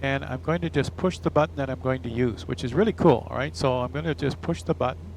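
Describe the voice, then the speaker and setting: Gravelly-edged man giving a casual demo. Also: medium pitch, fast, a little mellow, poor audio. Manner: chatty, explanatory. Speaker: product demonstrator, pilot. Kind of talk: casual hands-on demonstration. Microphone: headset and lapel mic. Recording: faint background noise, headset and lapel mics.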